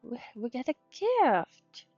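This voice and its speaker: Slow, halting non-native English woman streamer. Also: a little high, wildly swooping pitch, mellow, no echo. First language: not English. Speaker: VTuber game streamer. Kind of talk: casual gameplay commentary, reading dialogue aloud. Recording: dull sound with faint music behind. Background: game music.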